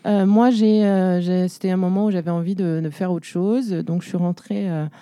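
A woman's voice: lowish voice, steady tone, normal speed, mellow, clear, resonant tone, dry recording. A woman in her forties, an entrepreneur, telling her career story in a casual interview, full of fillers and restarts. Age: 40s. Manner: chatty, many fillers and restarts. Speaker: guest, entrepreneur.

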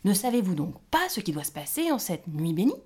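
A woman voicing an excitable, jolly male forest gnome who loudly hurries the others along. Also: fairly quick, breathy edge. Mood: impatient, excited. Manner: shrill, loud, urgent, hurrying others.

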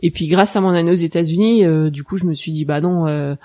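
Mellow woman in her thirties, chatting. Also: lowish voice, fast talker. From Haute-Savoie, France. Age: 30s. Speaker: interview guest, mountain guide and rescuer. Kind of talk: casual conversational storytelling.

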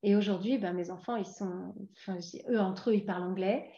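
A woman in her forties from France, a guest talking casually in an interview and pausing often with fillers and restarts. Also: mid-range voice, steady tone, warm tone, roomy sound, highs cut off. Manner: hesitant, many fillers and restarts.

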